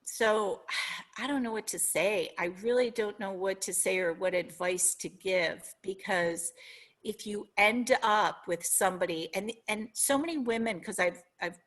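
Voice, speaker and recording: Middle-aged Minnesotan woman, podcast guest, bright voice, reflective and sincere. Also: mid-range voice, a little slow. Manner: earnest, reflective, searching for words. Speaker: guest author. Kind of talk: podcast interview.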